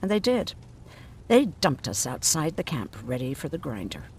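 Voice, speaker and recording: Animated, higher-pitched, blunt male survivor. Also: normal speed, a little hiss or hum. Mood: grave recounting. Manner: blunt, sardonic. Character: blunt, irritable camp survivor, a man weary and sardonic. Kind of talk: video game voice acting.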